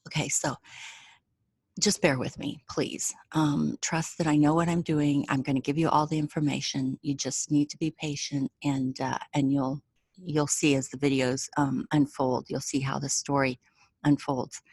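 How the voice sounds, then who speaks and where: American lady astrologer, pretty low voice, super dry sound, explaining stuff step by step and reassuring people. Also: little pitch movement, moderate pace, darkish tone, slightly rough, dry recording. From United States. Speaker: astrologer presenting a chart reading. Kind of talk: informal explanatory talk to viewers. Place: indoors, away from home.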